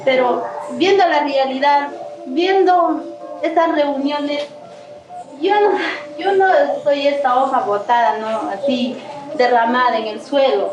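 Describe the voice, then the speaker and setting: A woman gives a slow, reflective testimony built on images. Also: high-pitched. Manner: slow, metaphorical storytelling. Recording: echoey, distant mic with music.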